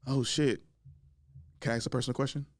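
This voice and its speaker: A man's voice: quick pace, no room sound. Joking guy in his 30s, slangy storyteller, dry close sound. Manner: animated storytelling, laughs and shrieks high when excited. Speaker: podcast host. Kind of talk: casual chat.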